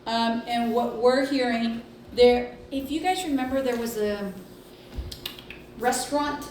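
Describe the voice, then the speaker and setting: Female executive, fairly high voice, halting board-meeting report, very echoey room with distant mic. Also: darkish tone, a little hiss or hum. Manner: hesitant, halting delivery with long pauses. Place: indoor public meeting room.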